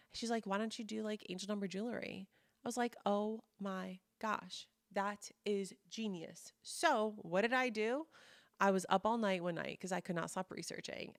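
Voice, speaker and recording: A woman in her thirties telling personal stories in a chatty, casual monologue, full of fillers and animated quoting of others. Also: mid-range voice, no echo, studio quality. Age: 30s. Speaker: podcast host and coach.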